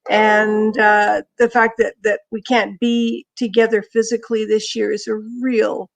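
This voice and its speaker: A woman giving an unprepared acceptance speech over a video call, halting and moved, saying she is losing her voice. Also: mid-range voice, flat delivery, vocal fry. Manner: halting, many restarts, moved. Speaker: award winner, magazine managing editor.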